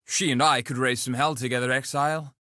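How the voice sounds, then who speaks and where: An actor playing a cocky, loquacious duelist and schemer in a video game, with a wry, flippant, theatrical delivery. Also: male voice, mid-range voice, steady tone, a little fast, sharp tone, dry recording, studio quality, close to an everyday voice. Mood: sly, scheming.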